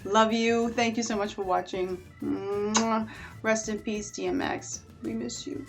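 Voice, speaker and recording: A woman from the Bahamas with a Bahamian accent, chatting to camera on her vlog in a lively, animated way. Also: medium pitch, a little slow, creaky, over music. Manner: chatty and animated, with warm asides. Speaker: vlogger. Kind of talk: casual chat to camera.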